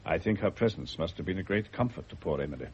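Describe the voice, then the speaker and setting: Grave, deep-voiced doctor, muffled recording. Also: male voice, animated. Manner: measured, formal, authoritative. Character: grave, world-weary family doctor, stern but discreet. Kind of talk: radio drama acting. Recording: muffled, noisy old radio recording.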